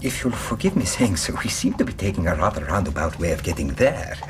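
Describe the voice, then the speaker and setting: Anxious, formal gentleman, lively pitch. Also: quick pace, background noise. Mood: puzzled, uneasy. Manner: formal, precise, flustered. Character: anxious, indignant, well-spoken Victorian gentleman interpreter. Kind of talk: radio drama acting.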